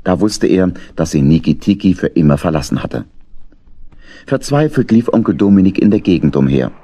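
A man narrating a children's story from a script, with husky, dramatic delivery. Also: low voice, expressive, normal speed, mellow, no room sound.

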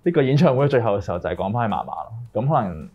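Hong kong guy director explaining stuff, talks fast, mixes english in, bit low, dull audio. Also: very animated, husky. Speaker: music video director. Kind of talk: explanatory interview talk, English words mixed in. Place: small indoor room, group interview, through a face mask.

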